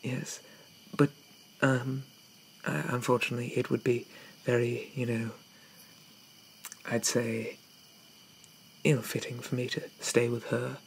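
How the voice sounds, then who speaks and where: A shy young zombie gentleman, played by a man, murmurs in a creaky half-whisper. He is polite, hesitant and apologetic, in a gentle ASMR roleplay style, with noticeable background noise around him. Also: mid-range voice, flat delivery, takes it slowly, rough recording. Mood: shy, wistful. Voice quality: breathy, often whispered.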